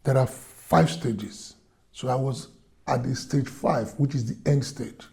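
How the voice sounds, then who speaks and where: A man from Nigeria telling his own story in a slow, halting, earnest way, with music under his voice. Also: mid-range voice, vocal fry, a little mellow. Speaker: fundraiser subject. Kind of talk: personal testimony.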